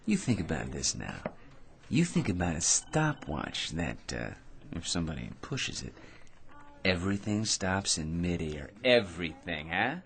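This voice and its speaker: An actor playing a cocky, excitable working-class dreamer in a radio drama, with slangy, boastful patter. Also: male voice, deep voice, a little slow, vocal fry, exaggerated voice. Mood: boastful, persuasive. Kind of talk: old-time radio drama acting.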